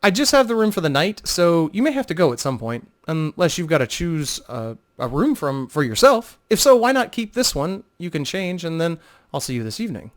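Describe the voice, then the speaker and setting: A man's voice: fairly high pitch, big pitch swings, fairly quick, pitched above the performer's own voice. A man voicing a flirtatious, playful woman adventurer on a secret mission in a dramatic fantasy audiobook reading. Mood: playful, confiding.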